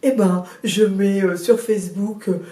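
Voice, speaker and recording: A woman in her sixties with a cold, chatting in an interview about her first novel, hesitant with many fillers and restarts but lively in bursts. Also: mid-range voice, unhurried, roomy sound, distant mic. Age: 60s. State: has a cold. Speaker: guest, novelist. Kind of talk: casual interview chat.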